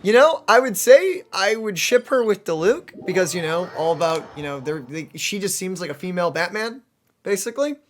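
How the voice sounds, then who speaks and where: A woman's voice: on the low side, expressive, normal speed. A young woman giving casual, joking and exclamatory commentary as a let's play host.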